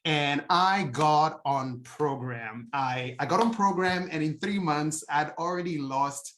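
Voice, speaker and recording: A man's voice: fairly high pitch, takes it slowly, a bit bright, slight background noise. A man with a Nigerian accent giving an earnest personal testimony as a guest speaker, with frequent fillers and restarts.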